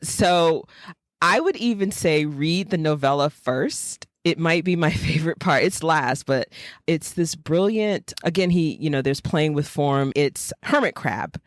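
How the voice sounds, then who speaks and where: A woman's voice: low voice, expressive, unhurried, dry recording, studio quality. A woman from Florida chatting casually and enthusiastically about books as a podcast co-host, with emphatic praise. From Jacksonville, Florida, United States. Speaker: podcast co-host, fiction writer. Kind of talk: casual book chat.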